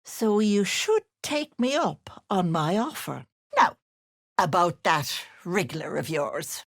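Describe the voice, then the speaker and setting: A woman's voice: lowish voice, animated, takes it slowly, no echo, clean studio sound, full character voice. A woman voicing a sly, syrupy older auntie witch in a video game, cooing endearments with an edge of menace. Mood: wheedling, sly. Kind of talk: video game character voice lines.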